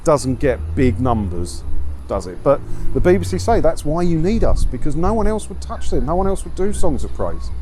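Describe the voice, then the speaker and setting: A man's voice: on the high side, sing-song, normal speed, mellow. Chatty English man vlogging outdoors, some street noise. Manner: chatty, rambling, self-deprecating, grumbling. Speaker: video blogger, host. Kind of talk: casual walk-and-talk vlog. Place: outdoors, walking along a windy seaside town street. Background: wind, street and vehicle noise.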